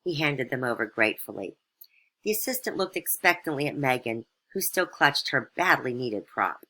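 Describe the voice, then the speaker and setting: Casting: female storyteller for satirical fairytale narration, extremely low pitch, bright forceful tone. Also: very animated, moderate pace.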